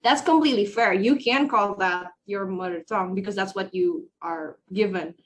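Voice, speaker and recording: A woman from Indonesia with an Indonesian accent, a native Indonesian speaker, arguing her side firmly as a panelist in a discussion. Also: mid-range voice. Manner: assertive, opinionated, quick bursts. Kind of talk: debate-style discussion.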